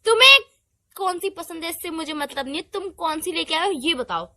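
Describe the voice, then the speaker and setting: High-pitched, fast-talking young girlfriend played by a woman. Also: animated, pushed and bright, exaggerated voice. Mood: suspicious, insistent. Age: young adult. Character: young girlfriend, fussy, pleading and stubborn.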